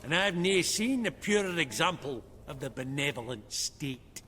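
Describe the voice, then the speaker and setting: Bright, brash dwarf banker. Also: male voice, on the high side, animated, normal speed, big-room sound, a little hiss or hum. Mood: chatty. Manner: colloquial, blustering, swearing. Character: chummy, bossy dwarf banker, older widower. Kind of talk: video game voice acting.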